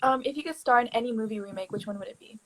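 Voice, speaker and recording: A woman's voice: fairly high pitch, rapid-fire, background noise. A young woman, a student, asking interview questions in a friendly way, often starting with "um" and giggling.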